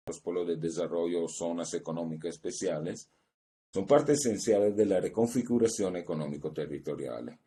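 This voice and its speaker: Low, mellow man presenting. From Italy. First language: Italian. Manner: measured, working from notes, pausing to find words. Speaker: seminar presenter. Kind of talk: academic conference presentation. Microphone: video call.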